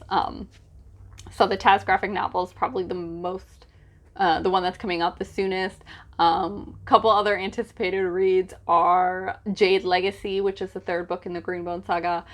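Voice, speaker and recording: Casual, chatty woman book vlogger. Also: fairly low pitch. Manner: informal, hesitant with frequent "like" asides, gushing emphasis.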